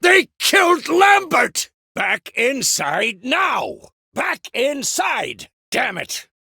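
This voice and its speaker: A gruff old monster-hunter mentor from a fantasy game. His gravelly, husky voice swings freely in pitch, and he speaks in terse, wry phrases. The recording is close and dry. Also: very animated, measured pace, fairly bright tone, dry recording, exaggerated voice. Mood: urgent shouting. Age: older. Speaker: video game voice actor. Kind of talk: scripted video game character dialogue.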